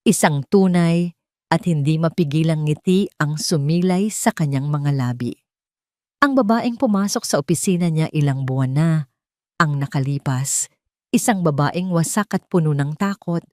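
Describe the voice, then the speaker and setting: A Filipino woman delivering dramatic scripted story narration in a measured, sentimental way with deliberate phrasing. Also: on the low side, a little mellow, no echo, studio quality.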